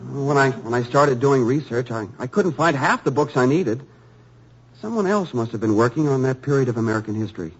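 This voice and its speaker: A man's voice: mid-range voice, lively intonation, fairly quick, breathy edge. An actor playing an eager, humble young male author in a 1950s radio drama, earnestly stammering as he speaks. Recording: dull lo-fi old radio broadcast.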